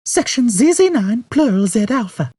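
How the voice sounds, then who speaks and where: A man voicing a patient, firm woman aboard a spaceship in an audiobook, bright and compassionate in manner. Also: very high voice, very animated, moderate pace, breathy edge, dry recording, voice pushed up, exaggerated voice. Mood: matter-of-fact.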